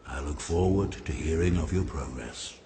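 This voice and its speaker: An actor playing an ancient talking oak tree, wise, kindly but stern, speaking in a grave, formal way in an animated fantasy game. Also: male voice, extremely low pitch, background noise. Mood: gently grumbling. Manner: slow, grave, formal and deliberate.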